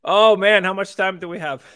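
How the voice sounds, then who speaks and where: A man's voice: high voice, normal speed. A man in his thirties from Venezuela, telling his story as a guest in a casual interview over a video call with earbuds. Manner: animated, gestures while talking, frequent restarts. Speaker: crypto company co-founder, guest.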